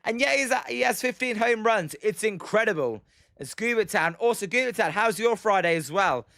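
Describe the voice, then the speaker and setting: A young British man doing casual play-by-play of a baseball game on a live stream, answering chat, his voice a little croaky and full of "um"s. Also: high voice, animated, normal speed, fairly bright tone, dry recording, clean studio sound. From Britain. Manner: rambling, many "um"s and "as" fillers. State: slightly croaky from daily streaming.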